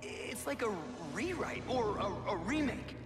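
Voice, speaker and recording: An actor playing a nervous, nerdy young film buff in a game, anxious and hesitant. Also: male voice, high-pitched, lively intonation, unhurried. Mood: anxious puzzling. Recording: music under game cutscene.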